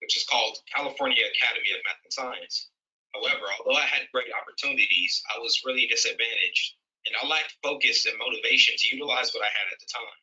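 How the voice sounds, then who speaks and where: A young man gives a steady, composed personal testimony in a recorded video, reading prepared words. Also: mid-range voice, lo-fi sound. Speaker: former foster youth sharing his story.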